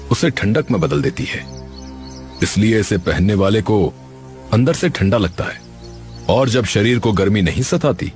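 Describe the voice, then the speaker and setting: A man voicing a kind middle-aged scientist in a cartoon, explaining things earnestly. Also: lowish voice. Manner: explains earnestly, apologetic and warm to the worker. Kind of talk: cartoon voice acting.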